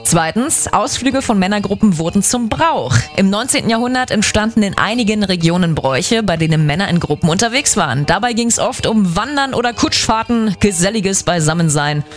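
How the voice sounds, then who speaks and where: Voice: medium pitch, expressive, fairly quick, hardly any pauses, soft background music. A speaker giving a scripted, didactic explanation in orderly numbered points.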